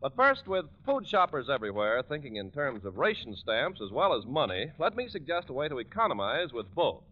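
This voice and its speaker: A man's voice: mid-range voice, very animated, a little fast, hardly any pauses. A man with an American accent announcing in scripted 1940s radio style, polished, emphatic and salesmanlike. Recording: dull band-limited 1940s radio recording.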